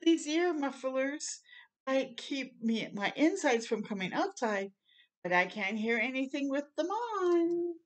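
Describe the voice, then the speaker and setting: A woman voicing an earnest, unsure male stuffed owl in a children's storybook, speaking slowly and simply with a wondering manner. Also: sits high, sing-song, pitched above the performer's own voice, exaggerated voice. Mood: puzzled. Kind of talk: children's storybook read-aloud.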